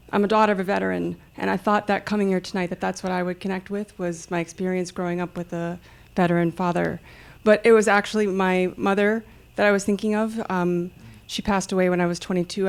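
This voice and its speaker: Woman in audience sharing something personal, even tone, bit low, reflective, halting. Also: vocal fry, smooth. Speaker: audience member. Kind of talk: personal testimony at a public discussion. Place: live event space with an audience.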